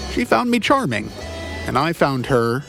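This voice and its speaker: A man plays a private eye in a comic noir audio drama, a self-deprecating former cop. His delivery is wry and halting, with animated swings in pitch. Music plays clearly behind him. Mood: sheepish, wry.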